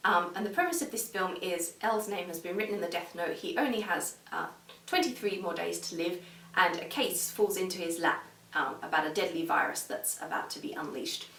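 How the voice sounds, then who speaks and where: A woman giving a casual, conversational video review in a measured way, talking through her opinions. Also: fairly low pitch, fairly bright tone, a little hiss or hum. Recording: echoey room, distant microphone.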